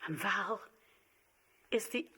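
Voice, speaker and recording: A woman acting an anxious, emotional wife and mother in a radio play, speaking theatrically with dramatic pauses. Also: mid-range voice, sharp tone, slightly rough, heavily acted. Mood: wry, pointed.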